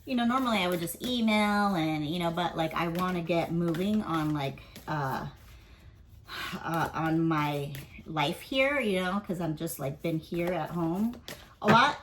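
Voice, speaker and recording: A woman's voice: on the low side, measured pace, a little hiss or hum. A woman giving a casual weekly tarot and astrology reading. She speaks candidly, rambles, leans on fillers and swears offhandedly.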